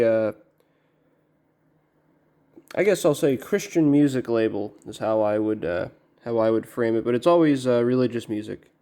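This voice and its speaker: Guy doing a laid-back collector unboxing, gravelly soft-edged voice, kinda halting, thinking out loud. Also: medium pitch. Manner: halting, musing to himself. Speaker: record collector presenting an unboxing. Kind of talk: casual unboxing commentary.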